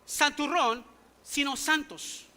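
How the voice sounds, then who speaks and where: High, bright-voiced male pastor preaching. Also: slow pace. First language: English. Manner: emphatic, full of rhetorical questions to the congregation. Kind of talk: sermon. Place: church sanctuary.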